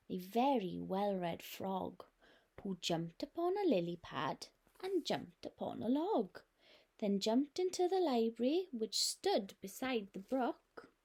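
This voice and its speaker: A nursery teacher reading a picture book aloud to young children in a gentle, expressive way. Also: female voice, on the high side.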